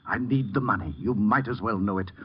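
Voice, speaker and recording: A man's voice: fairly low pitch, a little fast, natural voice. An actor playing a bitter, down-and-out theatrical man in an old radio drama, mournful and florid in his delivery. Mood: ashamed, desperate. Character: broken, bitter, grandiloquent theatrical man fallen on hard times. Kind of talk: 1940s-50s radio drama acting. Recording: dull, faintly noisy old radio recording.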